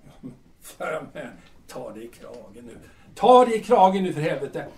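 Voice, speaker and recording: Bohemian playwright male actor, audio drama: higher-pitched, bitter and proud, hesitant grumbler, roomy echo. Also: very animated, darkish tone. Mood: impassioned, scornful. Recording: echoey room.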